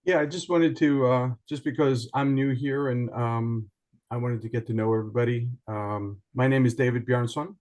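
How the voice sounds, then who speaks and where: Measured, articulate man introducing himself. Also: mid-range voice, fairly even intonation, darkish tone. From Iceland, raised partly in other countries. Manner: measured, articulate, formal wording. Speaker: participant, journalist and writer. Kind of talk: prepared self-introduction, as if reading a statement. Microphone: online video call.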